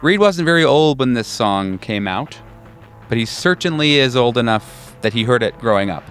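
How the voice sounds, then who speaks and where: A man narrating a tabletop horror game as game master, in a measured storytelling style. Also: medium pitch, animated, a bit bright, smooth. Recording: faint music under the voice. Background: music.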